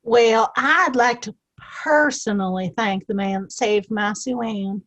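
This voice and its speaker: Woman playing a sassy high-voiced saloon gal. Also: a little slow, fairly bright tone. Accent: American. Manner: flirty, sassy, folksy. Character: sweet-talking, spirited Old West saloon woman. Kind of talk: Western audio play acting.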